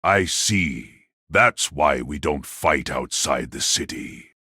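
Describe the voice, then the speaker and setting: A man's voice: a bass voice, expressive, takes it slowly, bright, forceful tone, slightly rough, dry recording, studio quality, voice pushed down, full character voice. A man playing a confident, sardonic, battle-hungry young warrior in an audio drama, drawling and self-assured. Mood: cool, wry.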